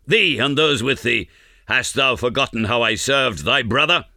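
Man playing a fierce, boastful old warrior, bright-voiced. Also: on the low side, expressive, unhurried, clean tone, no echo, clean studio sound. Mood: furious, threatening. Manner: declamatory archaic speech, boastful. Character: aging fierce Zulu warrior, boastful, bloodthirsty, grandly proud.

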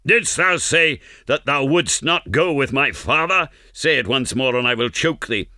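A man's voice: fairly low pitch, expressive, measured pace, sharp tone, smooth, dry recording, clean studio sound. A man voicing an aging, bloodthirsty warrior who is proud and boastful and speaks in grand, archaic declamation for a storybook. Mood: furious, threatening.

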